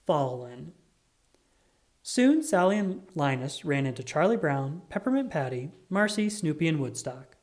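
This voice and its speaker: A reader giving an expressive, scripted read-aloud of a children's picture book. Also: medium pitch, normal speed, hardly any pauses. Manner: animated, expressive reading.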